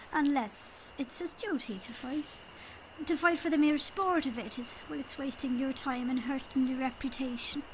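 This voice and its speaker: A woman's voice: high-pitched, slight background noise, close to an everyday voice, low-quality recording. A woman playing a droll little leprechaun king, telling his tale in folksy phrasing in an audio drama. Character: leprechaun king, small old male storyteller, droll and wry.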